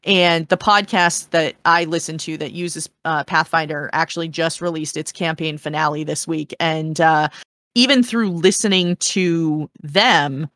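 A woman's voice: sits low, fairly bright tone, no echo, clean studio sound. A woman in a casual discussion, explaining in an earnest, encouraging and meandering way as a panel guest.

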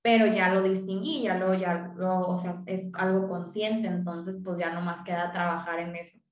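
A woman's voice: fairly low pitch, fairly even intonation, normal speed, muffled recording. A woman hosting a casual conversation, speaking reflectively with some hesitation. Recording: roomy echo.

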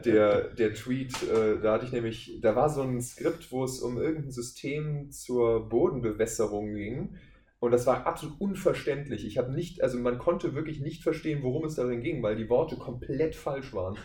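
A man's voice: mid-range voice, normal speed, dark tone. Male podcast guest in a roomy space. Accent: German. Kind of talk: casual interview chat with anecdotes. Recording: roomy echo, faint background noise.

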